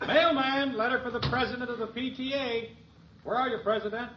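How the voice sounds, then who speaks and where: A wry suburban dad in an old radio comedy. The voice is high and swings widely in pitch, on a dull recording with a studio audience behind it. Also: male voice, steady tone, a little fast, crowd noise. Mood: jovial teasing. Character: wry, teasing middle-aged suburban father. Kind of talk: 1950s radio sitcom acting. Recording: dull vintage broadcast, studio audience noise.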